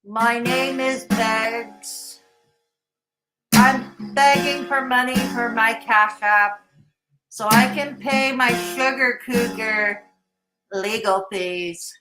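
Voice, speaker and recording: A woman voicing a whiny, broke man who sings a slow, drawn-out begging blues in a mocking sing-song. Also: fairly high pitch, over music, low-quality recording.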